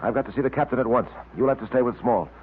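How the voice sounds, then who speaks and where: An actor playing a resolute police detective in a radio drama, clipped and authoritative. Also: male voice, medium pitch, very fast, breathy edge, phone-quality sound, lightly acted. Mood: stern, demanding. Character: resolute, commanding police detective, adult man. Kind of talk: 1930s-40s radio drama acting. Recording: thin, noisy vintage radio recording.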